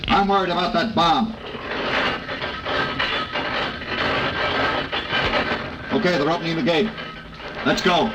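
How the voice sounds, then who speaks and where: An actor playing a brusque, fearless army general in an old-time radio drama, giving curt, clipped orders in a commanding manner. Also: male voice, a little high, brisk, close to an everyday voice. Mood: tense, gruff. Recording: noisy old radio broadcast recording.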